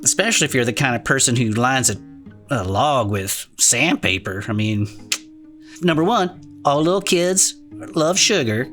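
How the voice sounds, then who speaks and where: A woman's voice: rumbling low, lively intonation, moderate pace, fairly bright tone, soft background music. Chatty, irreverent woman podcast host. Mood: animated. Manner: slangy, sweary, conversational. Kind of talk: comedic improvised audio fiction banter.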